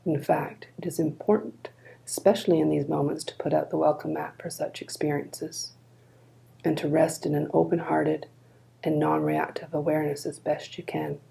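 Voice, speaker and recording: A woman leading a guided body scan meditation in a calm, gentle, unhurried manner. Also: low-pitched, creaky, poor audio.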